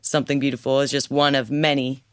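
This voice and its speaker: A musician guest with a slightly raspy voice, chatting easily and laughing often in an interview. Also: mid-range voice, level tone, fast, fairly bright tone, dry recording. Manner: easygoing, laughs often, talks freely. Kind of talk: casual interview chat.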